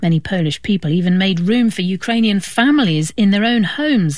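A woman's voice: on the low side, a little mellow, dry recording, studio quality. A woman presenting a news programme, interviewing guests and introducing reports. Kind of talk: broadcast interview and news links.

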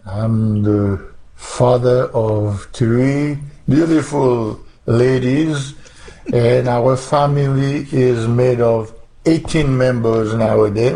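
A man's voice: mid-range voice, mellow, low-quality recording. A man in his eighties from Haiti with a Haitian accent, chatting playfully and ramblingly about family life at home. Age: 80s. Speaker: interviewee, father. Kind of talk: casual family chat. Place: at home, recorded by a relative.

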